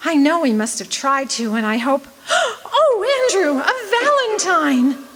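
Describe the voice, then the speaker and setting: A woman's voice: high-pitched, sing-song, a little slow, heavy background noise. A woman playing an eager, lovestruck young country woman, earnest and girlish, in old-time radio drama style. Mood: hopeful.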